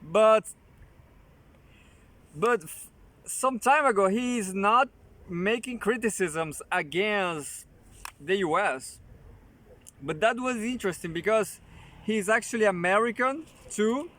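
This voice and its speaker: Slow, high-voiced man reading with restarts. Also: lively intonation, fairly bright tone. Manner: halting, with frequent restarts and joking asides. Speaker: channel host reading articles aloud. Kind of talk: casual commentary while reading an article.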